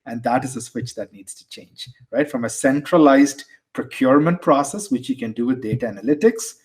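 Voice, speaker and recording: Man, Indian accent, podcast guest, explanatory, mid pitch, restarts and repeats words. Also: fairly quick. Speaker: guest, tech executive. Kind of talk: conversational interview answers.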